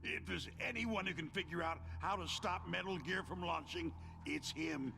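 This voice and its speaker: A weary, gravelly arms executive. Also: male voice, high-pitched, normal speed, noisy background. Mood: halting, weary. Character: weary male arms-industry boss, tortured, stammering, cynical, self-justifying. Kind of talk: video game cutscene voice acting.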